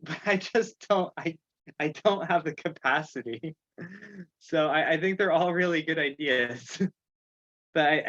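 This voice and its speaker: A man hosting an informal online meeting, thinking aloud in a halting way as he organizes things. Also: a little high, a bit bright, no room sound. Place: online conference call. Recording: muffled video call audio.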